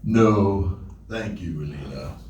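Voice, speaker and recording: In an echoey room, an actor plays an anxious, lonely man who talks slowly and hesitantly. His pitch swings around as he sighs his way through awkward comedy lines at a table read. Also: lively intonation, a little mellow, highs cut off. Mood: lonely, hopeful. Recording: echoey room, distant mic, faint noise.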